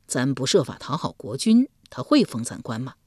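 Cold scheming ancient man, lowered gruff voice, a woman narrator puts him on. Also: big pitch swings, normal speed, darkish tone, no room sound, clean studio sound, exaggerated voice. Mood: coaxing. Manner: gruff, scornful and coaxing by turns.

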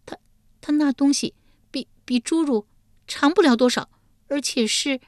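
A terrified young wife stammers out her answers before the court of hell in a storybook tale, acted by a woman narrator. Her voice rises high and shakes. The sound is close and dry. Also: sing-song, normal speed, no echo. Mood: pleading.

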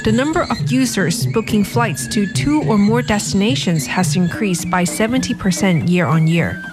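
A woman's voice: medium pitch, moderate pace. Lady reporter reading a news package, music playing under her. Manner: crisp, even reporting. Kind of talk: scripted news voice-over. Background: music bed.

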